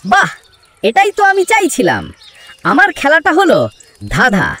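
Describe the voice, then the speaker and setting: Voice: sits high, huge pitch swings, moderate pace, pushed and bright, exaggerated voice. An actor voicing a sly, crafty grey fox in a children's cartoon, wheedling and theatrical with false friendliness. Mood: slyly challenging. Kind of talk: children's cartoon story dialogue.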